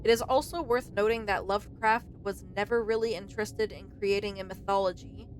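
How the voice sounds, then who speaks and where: A woman's voice: medium pitch, fairly even intonation, brisk. Woman streamer with faint noise behind her. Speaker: streamer playing a game. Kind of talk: let's play commentary, reading game text aloud.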